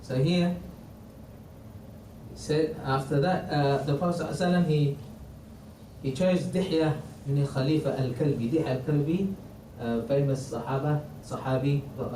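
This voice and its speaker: A man who is not a native English speaker teaching a religious history class in a mosque, halting with many restarts and quoting Arabic. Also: medium pitch, dark tone. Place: mosque hall. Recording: roomy, distant mic, faint noise.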